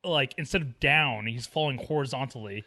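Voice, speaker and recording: Bright-voiced man chatting about movies. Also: mid-range voice, moderate pace, creaky. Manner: slangy, jokey. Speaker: film podcast co-host. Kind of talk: casual chat about films.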